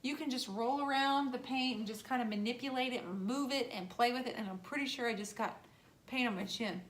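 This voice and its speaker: A woman's voice: fairly high pitch, fairly quick. A woman hosting an improvised painting demonstration, chatting casually and playfully with exclamations. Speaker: host of a painting puppet show.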